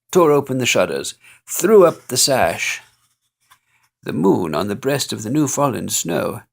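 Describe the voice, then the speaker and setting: A man reading a holiday poem aloud in an expressive, playful way, with chuckles along the way. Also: mid-range voice, vocal fry, breathy edge. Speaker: storyteller.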